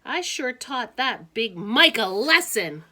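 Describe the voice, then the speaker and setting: Woman as a put-upon young schoolboy, raised pitch. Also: sing-song, slow, bright, forceful tone, heavily acted. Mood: pleased.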